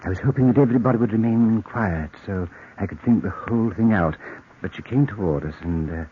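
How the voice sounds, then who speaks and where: An actor playing a desperate middle-aged murderer who narrates his crime in hushed, brooding tones, in 1940s radio acting style. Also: lowish voice, brisk, breathy edge. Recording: tinny old radio recording.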